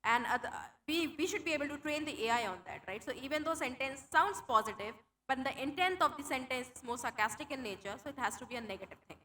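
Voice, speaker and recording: A woman's voice: high-pitched, animated, quick pace, few pauses, pushed and bright, slight background noise. A young woman speaking English with an Indian accent, her first language Hindi, giving a conference tech talk with a live demo, explaining things with many fillers and restarts. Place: conference hall.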